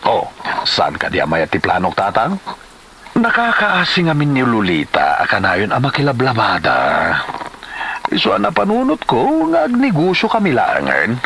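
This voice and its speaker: A man acts out a smooth-talking gambling husband in an Ilocano comedy drama. His bright voice swings hugely up and down as he coaxes and exclaims. There is faint noise behind him. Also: mid-range voice, huge pitch swings, normal speed. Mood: coaxing.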